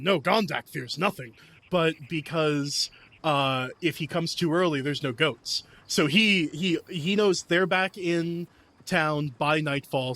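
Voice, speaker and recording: Higher-pitched man in tabletop game. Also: fairly bright tone. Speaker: player. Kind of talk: casual tabletop role-play chat.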